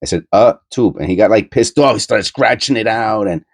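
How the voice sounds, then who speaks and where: Middle-aged New York man, stuffy and nasal, very low voice, dry recording, meandering and blunt. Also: fairly quick, no echo. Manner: blunt, meandering, many fillers. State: nasal from a cold. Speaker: coin-collecting channel host. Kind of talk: rambling casual monologue.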